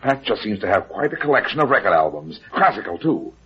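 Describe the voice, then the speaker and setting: An actor playing a wisecracking, unflappable heavyset private detective in a vintage radio drama, wry and sardonic. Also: male voice, fairly low pitch, sing-song, fairly quick, husky, heavily acted. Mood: confident, explaining. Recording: muffled vintage radio recording.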